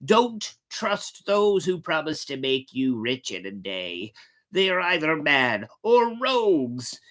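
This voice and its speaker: A woman voicing the ghost of a small talking cricket, a grave, fatherly adviser with a low, hushed voice. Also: big pitch swings, slow pace, exaggerated voice. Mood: grave, urging. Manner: grave, admonishing.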